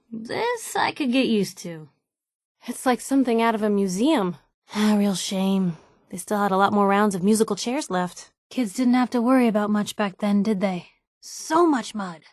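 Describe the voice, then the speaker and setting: A woman's voice: medium pitch, moderate pace, a little mellow, no echo, studio quality, close to an everyday voice. An actress playing a nosy, wisecracking young woman newspaper reporter as a video game companion, wry and sarcastic in manner. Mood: wry, curious.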